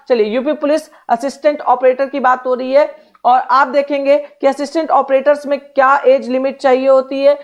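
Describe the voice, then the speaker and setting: The recording is a little dull and lo-fi. A woman speaks in a clearly high-pitched voice. She teaches in a direct, explanatory style, addressing students as an exam coach. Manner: direct, instructional, repeats check phrases. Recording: dull, lo-fi sound.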